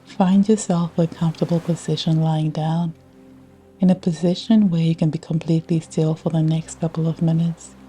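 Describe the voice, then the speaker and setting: Woman, meditation guide, low-pitched, nearly flat intonation, soothing measured delivery, long pauses, soft ambient music and ocean sounds behind. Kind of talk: guided yoga nidra meditation, scripted.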